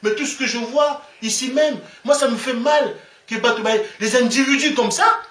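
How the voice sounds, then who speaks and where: A man's voice: extremely high pitch, normal speed, fairly bright tone, recorded from a distance. A man from the Republic of the Congo with a Congolese French accent, giving an impassioned, preachy monologue and repeating his phrases for emphasis. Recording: echoey room, away from the mic.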